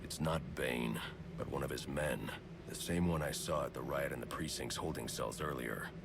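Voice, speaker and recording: A man's voice: normal speed, noisy background. An actor playing a grim, brooding male vigilante detective in a video game, speaking in terse, clipped lines. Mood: analytical. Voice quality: deep gravelly. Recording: game soundtrack music behind.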